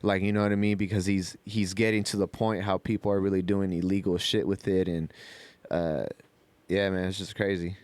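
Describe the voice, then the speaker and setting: A man's voice: low voice, level tone, brisk, a little mellow, clear, resonant tone, dry recording. A man talking in a casual, rambling podcast style, full of slang and cursing. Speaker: podcast co-host.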